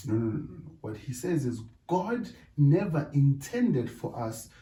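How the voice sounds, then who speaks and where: A middle-aged South African man whose first language is Zulu. He talks in a deliberate way in a casual discussion and keeps checking in with "right?". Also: mid-range voice, very animated, warm tone. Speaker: podcast co-host. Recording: echoey room, distant mic.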